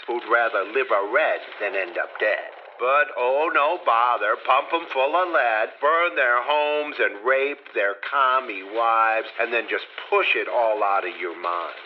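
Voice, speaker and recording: A man's voice: medium pitch, highs cut off, a little hiss or hum, close to an everyday voice. An actor delivering a bitter, sardonic rhyming monologue as a man who condemns a war.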